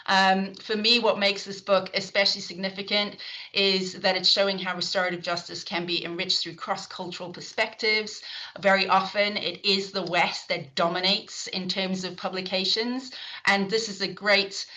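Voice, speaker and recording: A woman's voice: mid-range voice, level tone, moderate pace, pushed and bright, low-quality recording. A middle-aged woman with a South African accent, chairing an online session and introducing the speakers in a chatty way, with lots of fillers and restarts.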